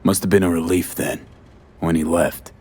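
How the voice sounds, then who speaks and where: An actor voicing a hard-boiled, blunt mercenary in a cyberpunk video game, with a low, husky delivery. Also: male voice, flat delivery, moderate pace, darkish tone. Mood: probing.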